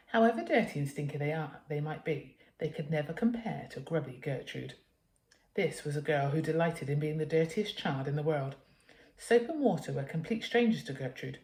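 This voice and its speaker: Female British storyteller, teacher reading to children, slightly low voice, echoey room. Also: darkish tone.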